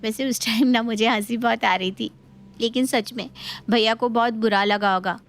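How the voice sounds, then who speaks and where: Woman acting playful high-pitched young daughter. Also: fairly even intonation, moderate pace, lightly acted. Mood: gleeful. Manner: chatty, teasing. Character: playful, outspoken young daughter teasing her father. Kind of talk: Hindi animated moral story acting.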